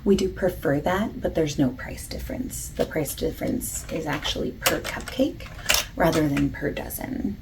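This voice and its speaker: A woman playing a friendly, patient bakery salesperson in a soft-spoken ASMR roleplay, often breathy and near a whisper, gently overexplaining. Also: normal speed. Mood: soft, accommodating. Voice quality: low-pitched, creaky, soft. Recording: lo-fi hissy close recording, keyboard typing.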